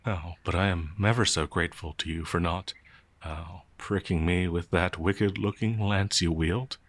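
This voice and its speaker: A man's voice: low-pitched, fairly even intonation, normal speed, dry recording. A man playing a timid, innocent werewolf farmhand in an audio roleplay, speaking in a hesitant, stammering, naively earnest way. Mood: anxious, eager. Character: timid, gentle, innocent male werewolf farmhand, older than he appears. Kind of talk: audio roleplay character acting.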